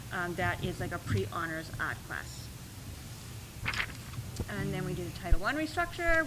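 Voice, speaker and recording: A soft-spoken woman, a school principal, explaining schedules and budget requests at a meeting, with frequent pauses and ums. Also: medium pitch, background noise. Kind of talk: presenting at a school board meeting.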